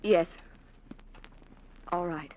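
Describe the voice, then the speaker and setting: A frightened, stammering young niece. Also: female voice, lowish voice, steady tone, very slow, lo-fi sound, slight background noise. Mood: fearful. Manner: hesitant, stammering, fearful. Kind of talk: 1940s radio acting.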